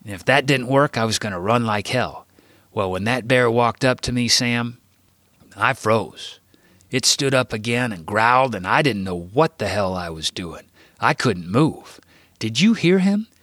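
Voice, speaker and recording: A man's voice: on the low side, dry recording. A man voicing an injured teenage boy who patiently reassures his little brother. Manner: gentle, reassuring.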